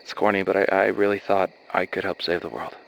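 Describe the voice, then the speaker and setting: A man playing an anxious, earnest young soldier in an animated horror drama, speaking in a halting, confiding way. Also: deep voice, vocal fry, slight background noise, rough recording. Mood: wistful. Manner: hesitant, halting confessions with sighs. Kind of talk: naturalistic dramatic dialogue in an animated horror series.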